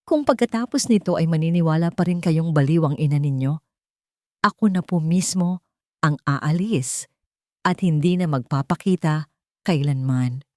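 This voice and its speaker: A woman's voice: low-pitched, normal speed, darkish tone, dry recording, studio quality, close to an everyday voice. A woman voicing a brave young housemaid in an audio story, respectful and pleading, with a trembling but determined voice.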